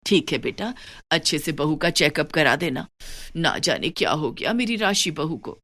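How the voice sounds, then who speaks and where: A woman puts on a middle-aged mother-in-law for a moral tale. She has a commanding, bossy manner and a lowered voice. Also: expressive, moderate pace, a bit bright, full character voice. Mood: concerned. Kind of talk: moral story character dialogue.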